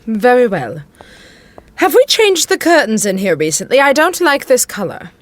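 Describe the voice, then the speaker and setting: A woman's voice: fairly high pitch, big pitch swings, moderate pace. A woman playing a middle-aged widowed queen in a modern audio drama, regal and genteel, fussy and pointed. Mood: fussy, distracted.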